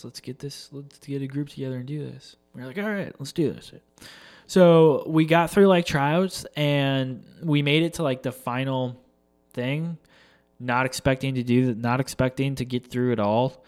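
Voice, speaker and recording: A young man tells a loose, rambling story, full of fillers, restarts and casual swearing. His voice sits at an ordinary middle pitch, with a slight congested, stuffy sound from seasonal allergies.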